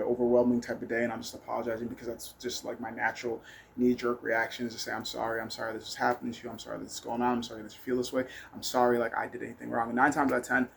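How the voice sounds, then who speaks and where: A man's voice: medium pitch, gravelly. A man telling personal stories in a casual, rambling monologue, blunt and candid, with some swearing. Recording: noticeable background noise.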